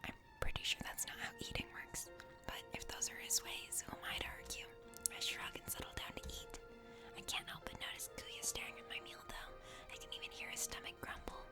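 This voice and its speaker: Whispering woman narrating a game story. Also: fairly quick. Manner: hushed, intimate. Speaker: ASMR game player and narrator. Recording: loud music under whispered voice.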